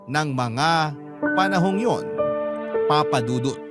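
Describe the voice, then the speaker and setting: A man's voice: fairly high pitch, lively intonation, slow pace, loud music behind the voice. A man with a Filipino accent playing a regretful letter writer, narrating a sad love story in first person for radio. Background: loud musical underscore.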